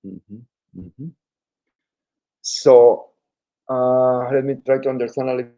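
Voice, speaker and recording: Gravelly-voiced host, halting. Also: male voice, mid-range voice, steady tone, mellow, low-quality recording. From Mexico. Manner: halting, many fillers and restarts. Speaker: podcast host. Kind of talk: casual interview.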